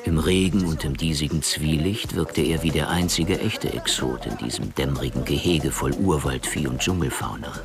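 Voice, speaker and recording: A man's voice: a bass voice, normal speed, creaky, few pauses. A man narrating a crime radio play in a scripted, literary storytelling style.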